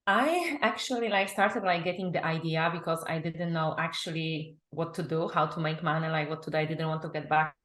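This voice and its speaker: Bright-voiced Polish woman in an echoey room. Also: low voice, fairly quick, hardly any pauses. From Poland. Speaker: guest, confidence and business mentor. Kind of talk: personal storytelling in an interview. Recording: roomy, echoing sound.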